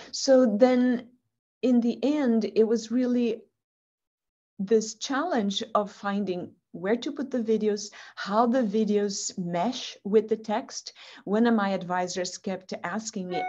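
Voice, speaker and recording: A woman's voice: medium pitch, a little mellow. A woman whose first language is Portuguese, earnestly answering interview questions over a video call and often repeating words as she finds her phrasing. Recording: muffled video-call audio.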